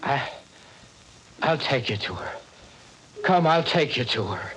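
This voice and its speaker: A man's voice: medium pitch, animated, slow, loud music behind the voice, low-quality recording. A man playing a haunted truck driver, telling his story in brooding first-person narration in an old radio horror drama. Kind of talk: 1940s radio acting. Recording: old radio broadcast with heavy hiss.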